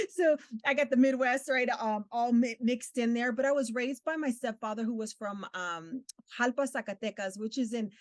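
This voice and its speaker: A woman's voice: on the high side, animated, normal speed, no room sound, studio quality. A middle-aged woman from Southern California whose first language is Spanish, speaking earnestly as an interview guest, laughing often and using many fillers. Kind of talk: interview conversation.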